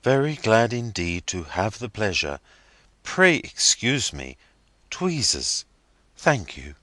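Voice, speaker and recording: A man voicing a prim, fussy genteel schoolmistress speaking with brisk politeness. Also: fairly low pitch, big pitch swings, sharp tone, no room sound, voice pushed up, exaggerated voice.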